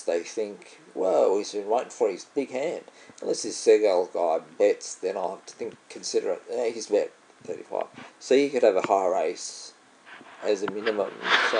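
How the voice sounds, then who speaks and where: A man's voice: lowish voice, a bit bright, slight background noise, poor audio. A man with an Australian accent, commenting casually on his own online poker game, musing aloud and often trailing off to correct himself. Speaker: vlogger playing online poker. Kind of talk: casual commentary over gameplay.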